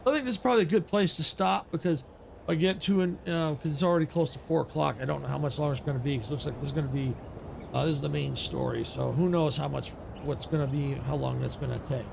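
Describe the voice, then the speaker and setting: A man streamer wraps up his session in loose, rambling chat, backing up and restarting his sentences. His voice sits a bit high and sounds heavily gravelly and raspy from hours of talking. A faint hiss runs under the desk-mic sound. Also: fairly quick. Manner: rambling, many restarts and fillers. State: voice getting raspy. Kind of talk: casual stream sign-off chat.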